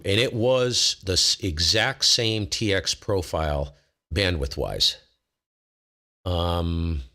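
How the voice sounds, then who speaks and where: Very low-voiced man, casual tech host. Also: fairly bright tone. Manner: informal, self-deprecating, wanders mid-sentence. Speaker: ham radio livestream host. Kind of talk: casual technical talk to a live chat.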